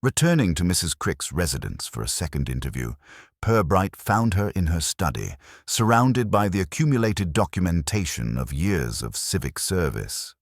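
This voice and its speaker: A man reading scripted narration of a mystery story in a measured, formal and wry storytelling manner. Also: deep voice, big pitch swings, no echo, studio quality.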